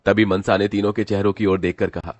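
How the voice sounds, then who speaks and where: A man narrates a dramatic scripted story in a fairly low voice. He works as the storyteller, and the recording is very dry, with no room sound. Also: little pitch movement, fast talker, a little mellow, no room sound.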